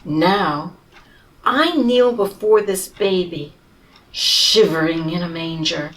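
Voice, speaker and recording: A woman reading a children's storybook in the voice of a humble, tired male camel who tells his own tale slowly and tenderly. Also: lowish voice, far from the mic, poor audio. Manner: slow, tender storybook telling with lively rises.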